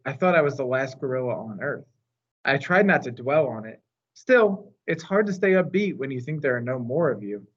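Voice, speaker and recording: A man reading a children's novel aloud in a measured, expressive storytelling manner. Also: a little high, highs cut off. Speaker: storytime reader.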